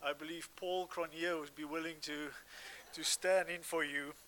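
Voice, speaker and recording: A man with a South African accent preaching a sermon as a guest pastor, earnest and halting, with frequent restarts and fillers. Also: on the high side, fairly even intonation, bright, forceful tone.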